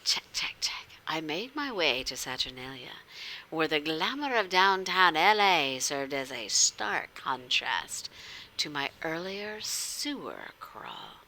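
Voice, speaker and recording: Female streamer narrating a story game: low-pitched, slow-paced, playful and theatrical. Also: a little hiss or hum, rough recording. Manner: animated, theatrical, drops into whispers. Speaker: livestream host reading a visual novel with chat. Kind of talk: playful livestream chat mixed with story narration.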